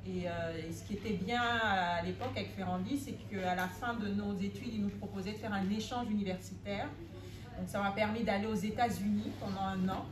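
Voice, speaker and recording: A woman in her late thirties from Gabon telling her life story in an interview, often pausing to search for words. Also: mid-range voice, fairly even intonation, a crowd in the background. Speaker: interview guest, chef. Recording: echoey room, clear background noise.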